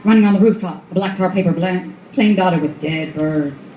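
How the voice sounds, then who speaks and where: A woman's voice: on the low side, moderate pace, lo-fi sound, natural voice. A woman performing as the narrator of a noir radio play, telling it in clipped, vivid, dramatic phrases. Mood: stark, dramatic. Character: stark female noir storyteller. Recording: echoey live room with clear background noise.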